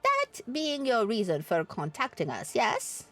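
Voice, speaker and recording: Animated woman livestreamer reading game dialogue. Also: on the high side, a little slow, faint music behind. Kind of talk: reading game dialogue aloud in character, with casual asides.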